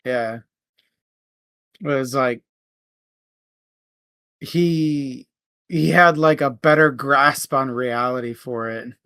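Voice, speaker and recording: A man's voice: medium pitch, slow, pauses often, sharp tone, smooth, no echo. A man co-hosting a book discussion show in a casual, conversational way.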